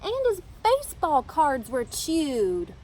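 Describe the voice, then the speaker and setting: A woman reading a children's picture book aloud in an animated, emphatic storytelling manner. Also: high voice, slow. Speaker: storybook reader.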